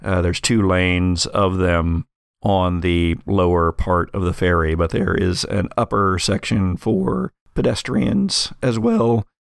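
A man narrating an atmospheric tabletop horror game as game master, in a measured, deliberate way. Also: sits low, gravelly, clean tone, no echo, clean studio sound.

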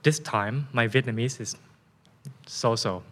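A man's voice: mid-range voice, measured pace, fairly bright tone. A man with a Thai accent, a guest in a casual interview, telling stories animatedly with frequent laughs and restarts.

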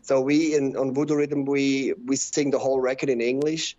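Swiss german accent, man, halting english, dull lo-fi audio. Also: medium pitch, little pitch movement, a little mellow. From Switzerland. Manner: halting, searching for English words. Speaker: musician and label owner, guest. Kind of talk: casual interview answers.

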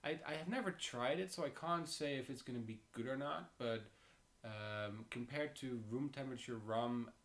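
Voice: medium pitch, normal speed. A podcast co-host speaking English as a second language, chatting casually with frequent restarts and questions. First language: not English. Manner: stammering restarts, asks lots of questions. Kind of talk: casual chat.

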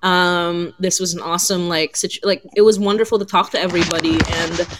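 A woman's voice: fairly low pitch, fairly even intonation, a little fast, noisy background. A woman co-hosting a live podcast in a casual, chatty way. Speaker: podcast co-host.

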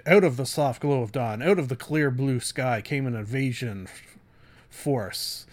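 A man voicing a grave, melodramatic narrator in a dramatic reading of epic book jacket copy, with halting, heavy emphasis. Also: medium pitch, darkish tone. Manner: theatrical, halting, heavily emphatic.

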